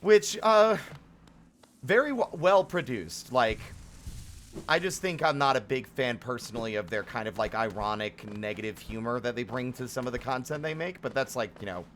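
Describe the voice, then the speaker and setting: Bright-voiced man streaming a game. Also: medium pitch, moderate pace, a little hiss or hum. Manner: rambling, thinking aloud. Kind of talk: casual live game-stream commentary.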